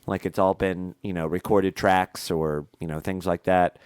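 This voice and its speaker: Podcast co-host, male, thirties, very low and smooth voice, nasal from a cold, talks in long rambling stretches. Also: fairly even intonation, normal speed, darkish tone, no room sound, clean studio sound. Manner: rambling, chatty, many fillers. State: congested with a head cold. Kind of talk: casual chat.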